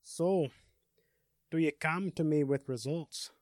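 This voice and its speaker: A man voicing a mysterious male crime boss in an audio story, in a measured, commanding manner. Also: on the high side, a little mellow, no echo, studio quality. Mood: cool command.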